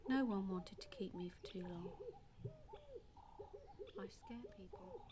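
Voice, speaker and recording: An actress playing a damaged, bitter woman in an audio play monologue, confiding and quietly menacing, often dropping close to a whisper. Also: mid-range voice, very animated, slow pace, over a noisy crowd. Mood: dark, menacing.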